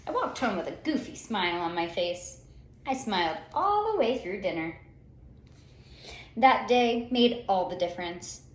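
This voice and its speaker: A woman reading a children's story aloud in an expressive, theatrical way, with dramatic pauses and drawn-out words. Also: mid-range voice, a little hiss or hum. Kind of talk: expressive picture-book storytelling. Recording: roomy, echoey sound.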